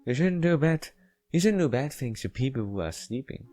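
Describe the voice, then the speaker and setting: A man with a non-native English accent voicing a gentle, plaintive young student in a visual novel, halting and pleading. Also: mid-range voice, lively intonation, no room sound, soft background music, voice pushed up, lightly acted.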